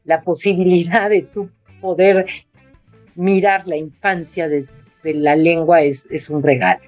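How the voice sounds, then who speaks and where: A woman writer speaks with a fairly low voice, pausing often and circling back over her own words. She is recorded dry over a video call. Soft guitar music plays faintly underneath. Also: fairly even intonation, no room sound, lo-fi sound. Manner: hesitant, many fillers and restarts. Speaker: writer being interviewed. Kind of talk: casual conversation.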